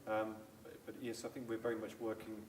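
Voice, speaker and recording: A man with a lowish voice explains examples from his hospital work in a calm, measured way. He sounds a little far from the mic, in a room with noticeable echo and a faint background hiss. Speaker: hospital clinician. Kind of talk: panel discussion. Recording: echoey room, distant mic, faint noise.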